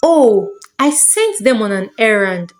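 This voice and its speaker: Frau spielt einen höflichen, reumütigen jungen Prinzen. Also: female voice, fairly high pitch, big pitch swings, slow pace, faint music behind. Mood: apologetic. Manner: polite, mild. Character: courteous, apologetic young prince.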